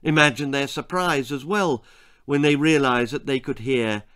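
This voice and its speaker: A man's voice: medium pitch, moderate pace, a bit bright, clean tone, dry recording, clean studio sound. A man reading his own spooky, comic children's story aloud in a theatrical, suspenseful way. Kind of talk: dramatic children's horror-comedy storytelling.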